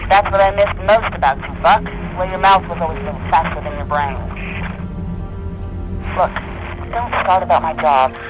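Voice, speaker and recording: Game role: a stranded intelligence officer woman, wry and brisk, heard through radio over music. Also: on the low side, low-quality recording. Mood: wry, guarded. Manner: brisk, dry, teasing. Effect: radio filter. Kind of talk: video game cinematic acting. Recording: radio transmission with music behind.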